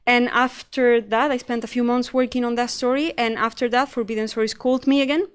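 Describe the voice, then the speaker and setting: Young Spanish-accented woman, panel speaker, bright higher voice, clean and smooth, chatty and self-mocking. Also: fairly even intonation, a little fast. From Spain. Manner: chatty and self-deprecating, acts out her own past thoughts. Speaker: panel speaker, investigative journalist. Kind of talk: casual panel talk to students.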